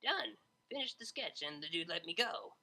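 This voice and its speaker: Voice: medium pitch, very animated, moderate pace, vocal fry, pushed and bright, exaggerated voice. A narrator voicing a brash, playful young female pony DJ with a casual, slangy, blunt way of talking in an audio storybook. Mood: casual, guarded.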